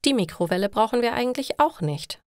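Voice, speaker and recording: A woman's voice: a little high, lively intonation, fairly quick, no echo, clean studio sound, natural voice. A woman voicing a practical, thrifty woman in an audio story, earnest and fretting over household matters. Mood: reasoning.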